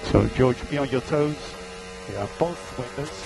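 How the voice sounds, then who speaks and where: A man's voice: mid-range voice, moderate pace, gravelly, poor audio. A man race engineer reading out gaps, lap times and instructions to his driver over team radio. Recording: team radio with music behind.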